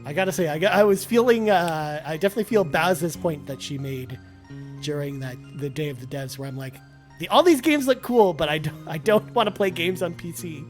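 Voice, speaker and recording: A man's voice: fairly high pitch, fairly quick. Joking, upbeat man hosting over faint music. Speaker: stream host. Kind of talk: casual chat.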